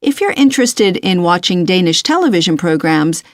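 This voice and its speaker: University tutor: woman, lowish voice, courteous and methodical, dry close recording for an exam dialogue. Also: big pitch swings, moderate pace, no echo. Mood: courteous, advisory. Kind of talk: scripted listening-test dialogue.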